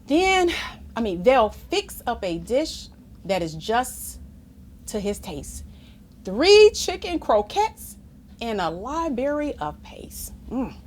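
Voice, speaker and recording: Animated, unhurried woman reading a children's book. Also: fairly high pitch. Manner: animated, engaging, asks the listeners questions. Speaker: storytime reader. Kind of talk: expressive read-aloud of a children's picture book with asides to listeners.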